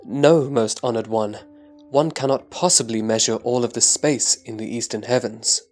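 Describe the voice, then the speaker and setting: A man's voice: mid-range voice, steady tone, normal speed, darkish tone, soft background music, lightly acted. A man playing a venerable, humble monk who speaks with formal, earnest respect in a sutra audio drama. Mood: reverent. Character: venerable monk Subhuti, reverent, humble male disciple.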